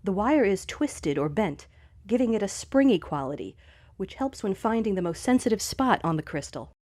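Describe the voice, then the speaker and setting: A woman's voice: medium pitch, sing-song, normal speed, a little mellow, dry recording, studio quality. A woman reading scripted narration for an educational video, speaking directly to young listeners. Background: background music.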